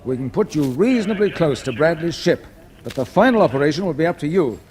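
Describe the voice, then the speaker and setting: An actor playing an authoritative space mission controller in 1950s British radio drama, formal, clipped and measured in his delivery. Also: a little high, expressive, a little mellow, close to an everyday voice. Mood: firm, serious. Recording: noisy vintage 1950s radio recording.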